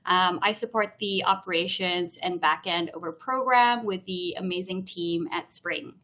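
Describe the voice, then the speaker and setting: A woman's voice: fairly low pitch, normal speed, pushed and bright. A woman in her thirties from Hong Kong, a program associate giving a friendly, explanatory presentation in a live webinar, with frequent "um" fillers.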